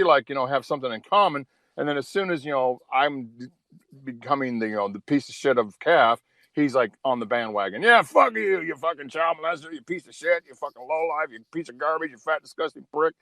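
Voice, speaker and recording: A man in his fifties talks candidly as a guest on a call-in show. His pitch moves around animatedly, and he rambles, repeats himself and swears a lot. The sound is close and dry. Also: fairly bright tone, no room sound. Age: 50s. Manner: rambling, repetitive, profane. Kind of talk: casual, candid chat.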